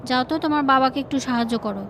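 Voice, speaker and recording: Woman as a brisk caring village mother. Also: sits high, a bit bright, slight background noise. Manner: brisk, scolding yet tender.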